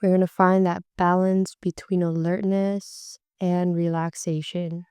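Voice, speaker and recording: A woman leading a guided meditation, speaking slowly and softly in long, soothing phrases. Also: fairly low pitch, flat delivery, smooth, dry recording, studio quality. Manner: slow, soft and soothing, drawn-out phrases.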